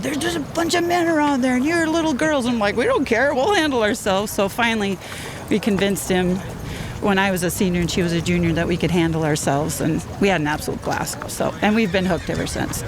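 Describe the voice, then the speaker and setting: Lively storytelling woman outdoors, noisy. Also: medium pitch, fairly quick. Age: 50s. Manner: lively storyteller who laughs easily. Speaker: buffalo roundup rider. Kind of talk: casual interview chat. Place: outdoors at a busy event. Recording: noisy outdoor field recording.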